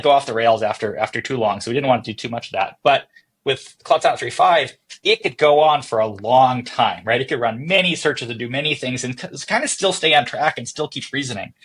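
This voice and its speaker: A man with a slightly breathy, husky voice and a middling pitch talks as a podcast guest. He explains technical ideas casually and conversationally, like a founder walking someone through his work. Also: a bit bright. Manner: explanatory, casual, acting out thoughts as quotes. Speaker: interview guest, software company founder and chief executive.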